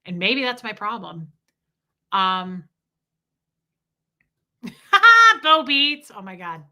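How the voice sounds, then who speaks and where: Bright-voiced woman in her forties, chatting. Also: mid-range voice, lively intonation, very slow. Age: 40s. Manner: candid and profane, reading viewer comments aloud. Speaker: livestream host. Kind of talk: casual livestream chat reading super chats.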